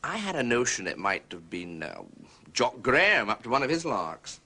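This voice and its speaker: An actor playing a blunt, slightly uneasy gentleman witness, clipped and defensive, in a period detective drama. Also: mid-range voice, very animated, moderate pace, full character voice.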